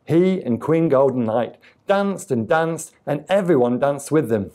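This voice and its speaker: A man reads a children's story aloud in a relaxed, mellow voice. He takes his time over each phrase and delivers the tale expressively, as for young listeners at storytime. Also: a little high. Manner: deliberate, expressive reading with acted sound effects. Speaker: storyteller reading a picture book aloud to children.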